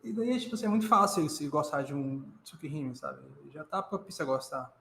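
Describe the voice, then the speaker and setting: A man's voice: fairly high pitch, lively intonation, fast talker, vocal fry, a bit bright. A Brazilian man chatting casually as a co-host reacting to a video. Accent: Brazilian Portuguese. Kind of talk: casual chat. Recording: echoey room, faint noise.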